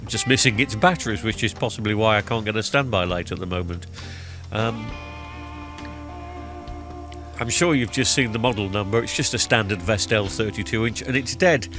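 A middle-aged man with a blocked-up nose from a cold, chatting loosely through a voiceover about a TV repair with lots of ums and uhs. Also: fairly low pitch. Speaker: TV repair vlogger. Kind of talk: casual voiceover commentary. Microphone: studio microphone recorded in post-production. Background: background music.

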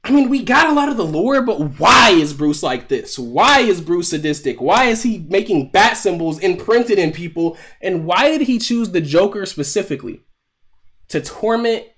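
A man's voice: sits high, normal speed. A man in his twenties giving casual, profane, slangy reaction commentary and reading on-screen text aloud on a noisy recording. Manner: profane, slangy, animated, exclaiming. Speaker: reaction video creator. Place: small room at home.

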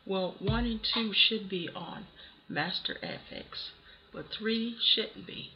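A hoarse voice with a cold, casually talking through a software tutorial step by step. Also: mid-range voice, slow. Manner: casual, talks through steps one by one. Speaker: tutorial host. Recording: dull lo-fi voice-over with faint hiss.

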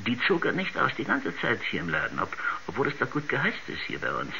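A rambling village shopkeeper in a radio play, hesitant and stammering. His voice is very sharp and forceful with a gravelly creak. There is some hiss on the recording. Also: medium pitch, huge pitch swings, a little fast, exaggerated voice. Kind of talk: 1970s science-fiction radio drama acting. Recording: dull, noisy 1970s radio recording.

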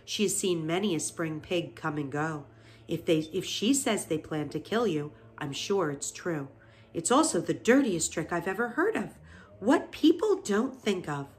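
A woman voicing a kindly, sensible female spider with a measured, brisk and gently firm delivery in a children's storybook. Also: on the low side, expressive. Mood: thoughtful.

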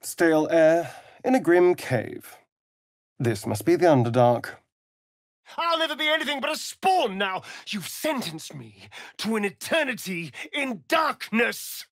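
An actor with a British accent playing a sardonic, vain elven vampire rogue in a fantasy video game, with an arch, drawling, mocking delivery. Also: male voice, sits high, sing-song, measured pace, dry recording, studio quality, heavily acted. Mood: distraught, angry.

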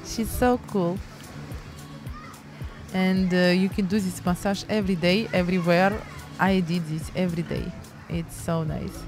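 A woman's voice: lowish voice, fairly even intonation, a little slow, long pauses, darkish tone, soft background music. A woman in her thirties with a Ukrainian accent, talking in chatty broken English while filming a travel and painting vlog on her phone. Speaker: painting vlogger. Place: beach outdoors and art studio. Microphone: handheld phone camera at arm's length.

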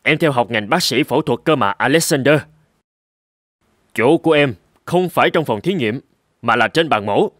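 A man's voice: mid-range voice, expressive, moderate pace, a bit bright, dry recording, studio quality, lightly acted. A man voicing a stern, practical middle-aged surgeon in a cartoon. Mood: exasperated. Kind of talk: cartoon dubbing.

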